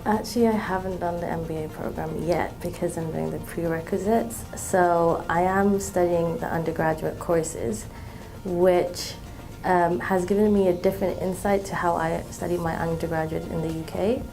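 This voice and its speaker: A woman in her twenties from Malaysia, a student talking in an interview, relaxed, with many ums and restarts. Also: lowish voice, normal speed, vocal fry, soft background music, rough recording. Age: 20s. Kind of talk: interview testimonial.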